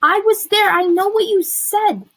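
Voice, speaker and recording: A woman's voice: a little high, expressive, normal speed, a little hiss or hum, natural voice. A woman voicing a guarded, brainy misfit schoolgirl in an audiobook, blunt, stubborn and hurt. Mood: angry, hurt.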